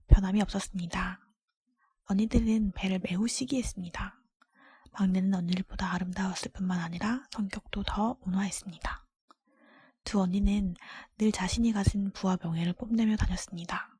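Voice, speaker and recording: Female ASMR storybook reader, fully whispered and airy, mellow tone, gentle measured delivery, intimate dry close-mic sound. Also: medium pitch, dry recording. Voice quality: breathy, unvoiced whisper. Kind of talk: whispered ASMR fairy-tale reading. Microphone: close microphone, dry.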